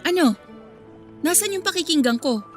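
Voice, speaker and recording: Radio drama role: betrayed young woman, high-pitched, biting sarcasm, soft music behind. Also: lively intonation, moderate pace. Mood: coldly sarcastic. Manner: sarcastic, cutting. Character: hurt, sarcastic young woman confronting cheating boyfriend. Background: faint background music.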